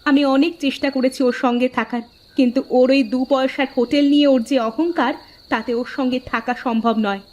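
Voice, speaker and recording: A woman's voice: sits high, steady tone, brisk, highs cut off, slight background noise, close to an everyday voice. A woman voicing a stubborn, proud wife who runs a hotel, speaking firmly in a cartoon. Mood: determined. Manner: firm, defiant; loud street-calling.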